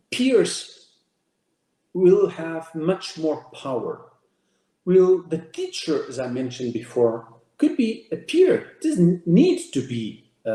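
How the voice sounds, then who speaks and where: Portuguese-speaking man giving a lively talk. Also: on the high side, roomy sound. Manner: emphatic, listing key ideas with repeated words. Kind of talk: presentation talk to an audience.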